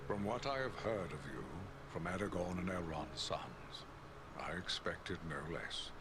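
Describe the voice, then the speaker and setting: An actor playing a grave, courteous elven lord in a fantasy game, speaking in a formal, measured, stately way. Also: male voice, deep voice, background noise. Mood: courteous, solemn. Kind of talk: fantasy video game voice acting.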